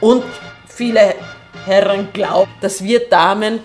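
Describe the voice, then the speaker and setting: A woman's voice: mid-range voice, steady tone, slow, a little mellow. A woman from Argentina, a native Spanish speaker, chats candidly and with a giggly manner as a guest telling personal stories on the radio. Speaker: singer and actress, radio guest. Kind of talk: casual personal storytelling in a radio interview. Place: radio broadcast. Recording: music behind the voice. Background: music.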